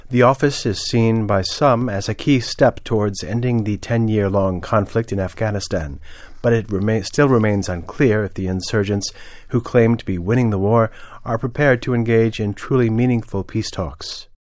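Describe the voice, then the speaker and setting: A male newsreader delivers scripted radio news in a lowish voice. The sound is dry, with almost no room around the words. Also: normal speed, darkish tone, dry recording.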